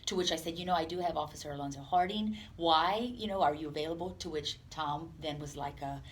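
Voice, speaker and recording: A woman giving unscripted interview answers as a police department representative, speaking earnestly and haltingly. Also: sits low. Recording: clear background room noise.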